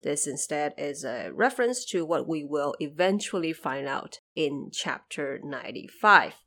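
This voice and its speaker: A woman hosting a podcast, giving explanatory, lightly scripted commentary in a measured, didactic way with emphatic phrasing. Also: sits low, expressive, pushed and bright. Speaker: podcast host explaining background of an audio drama series.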